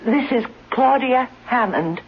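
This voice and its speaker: A woman's voice: fairly high pitch, expressive, slow pace, a little hiss or hum. An actress playing a desperate bedridden wife in a 1970s radio drama, pleading and agitated, convinced she is in danger. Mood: urgent pleading. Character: desperate bedridden invalid wife fearing she is being poisoned. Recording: muffled old radio recording.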